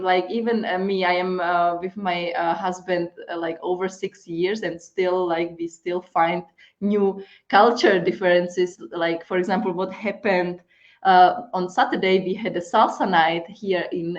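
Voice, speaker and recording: A woman's voice: lowish voice, steady tone. Chatty woman host, slightly dull audio. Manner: chatty, many fillers and halting restarts. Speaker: interview host. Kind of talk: casual conversational interview.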